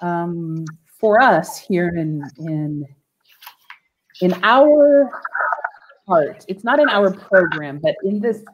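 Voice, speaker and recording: A woman's voice: lowish voice, lively intonation, slow, long pauses, lo-fi sound. A woman hosts an interview over a video call, picking her way through her questions with repeated words and restarts. Small nervous laughs break up her sentences, and a faint hiss sits under her voice.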